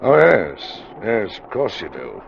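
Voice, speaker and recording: An actor playing a ship's captain in a radio drama, formal and controlling, with pointed, menacing courtesy. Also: male voice, lowish voice, wildly swooping pitch, slow, creaky, exaggerated voice. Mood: coolly menacing. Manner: clipped courtesy, pointed repetitions. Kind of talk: old-time radio drama acting. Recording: muffled, noisy vintage radio recording.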